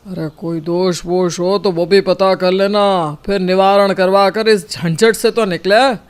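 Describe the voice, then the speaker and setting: A man's voice: high voice, steady tone, a little slow, smooth. A man voicing a grumbling, fault-finding middle-aged father in a cartoon family drama.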